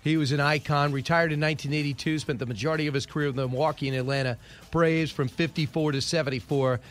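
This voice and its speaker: A man hosting a radio talk show, rattling through the news with wry asides and quick opinions. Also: a little high, fairly even intonation. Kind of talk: casual news-commentary monologue. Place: radio studio.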